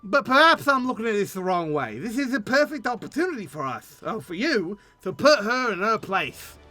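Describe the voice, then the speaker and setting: A scheming fantasy game guildmaster, played by a man. He blusters in an emphatic, folksy way, with a high voice that swings up and down a lot. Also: very animated, moderate pace, sharp tone, no echo, full character voice. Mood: scheming, eager.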